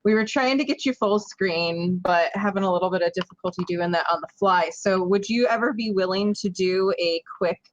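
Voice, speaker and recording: A woman's voice: medium pitch, normal speed, few pauses, muffled recording, a little hiss or hum. A woman hosting a live stream interview, asking questions in a reassuring, conversational way. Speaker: stream host.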